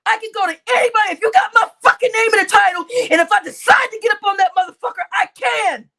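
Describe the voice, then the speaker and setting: Very high-pitched, gravelly woman, crying and shouting. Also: quick pace. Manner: shouting, heavy swearing, repetitive outbursts. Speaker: livestreamer. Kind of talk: angry livestream rant to chat.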